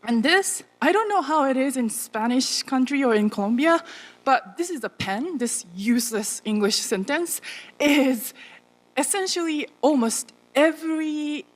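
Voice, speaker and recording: A woman's voice: high voice, lively intonation, measured pace. A woman in her thirties from Japan with a Japanese accent gives an informal, chatty conference talk as a non-native English speaker. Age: 30s. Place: large auditorium stage, indoors. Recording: echoey hall with long reverb.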